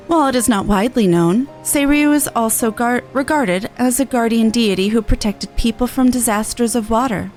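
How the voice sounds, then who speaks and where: A woman voicing a courteous, formal storyteller lady who recites old legends in a measured, archaic manner for a fantasy game. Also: a little high, darkish tone, lightly acted.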